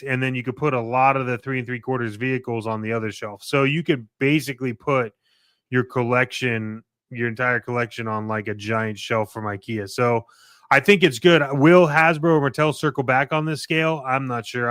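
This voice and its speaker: A man co-hosting a podcast, talking casually and enthusiastically in a halting, colloquial way. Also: mid-range voice, a bit bright, smooth, dry recording, clean studio sound. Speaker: podcast co-host discussing collectible toys. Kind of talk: casual unscripted chat.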